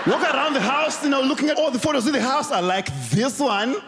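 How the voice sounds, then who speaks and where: A young man from Zimbabwe with a Zimbabwean accent, whose first language is not English, doing an animated stand-up set on a big talent show stage with a handheld mic, shouting punchlines and laughing between lines. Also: very high-pitched, normal speed. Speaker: stand-up comedian. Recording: loud music and crowd behind. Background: cheering crowd and show music.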